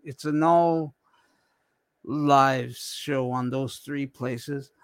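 A man hosting a casual adult podcast chat, talking slowly and haltingly, often repeating words. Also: mid-range voice, steady tone, dry recording. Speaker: host.

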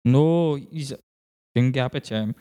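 A man co-hosting a podcast, chatting casually with quick, lively, profane reactions. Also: medium pitch, clear, resonant tone. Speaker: podcast co-host.

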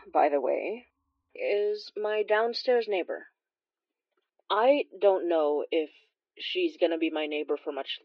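A woman's voice: medium pitch, no room sound, natural voice. An actress playing a rambling, self-deprecating Filipino immigrant woman who confides hesitantly and chattily in an audio drama monologue. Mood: pensive. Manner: hesitant, chatty, trailing off and correcting herself. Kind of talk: audio drama monologue as an intimate phone-call confession. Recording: slightly dull, dry close recording.

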